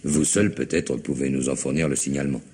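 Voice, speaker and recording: A police superintendent in an old radio mystery. His voice is extremely deep and rough-edged, and he questions with measured, apologetic courtesy and persistence. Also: fairly even intonation, dark tone. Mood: probing. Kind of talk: vintage French radio drama acting.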